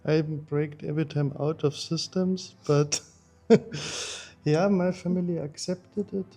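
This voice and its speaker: A man from Germany speaking English as a second language, halting and plain in a casual chat. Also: a little high, fairly even intonation, mellow, faint music behind. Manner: halting, non-native phrasing with fillers. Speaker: interviewee, husband in a couple. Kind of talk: casual conversation.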